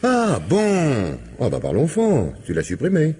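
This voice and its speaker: A man's voice: on the high side, wildly swooping pitch, a little slow, dark tone, slightly rough, exaggerated voice. An actor playing a genial, unflappable elderly father in a French radio drama, exclamatory and fatherly, often repeating his words. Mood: approving. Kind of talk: French radio drama, theatrical comic dialogue.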